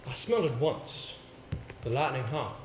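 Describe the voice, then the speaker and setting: An actor playing a pompous, exasperated theatre coach in an amateur stage play, with a sarcastic, emphatic delivery. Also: male voice, mid-range voice, lively intonation, moderate pace, lightly acted. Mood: measured storytelling. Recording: roomy stage recording with faint noise.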